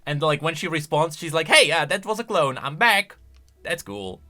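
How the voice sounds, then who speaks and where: A man reacts casually to video game lore, thinking out loud. His voice is bright and a little high, with animated swings in pitch. He talks in an expressive, conversational way. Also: normal speed. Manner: expressive, conversational, musing aloud.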